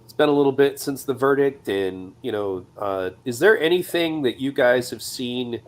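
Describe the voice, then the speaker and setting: A man's voice: mid-range voice, normal speed. A man who podcasts, speaking conversationally as he moderates a panel discussion. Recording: faint ambient noise.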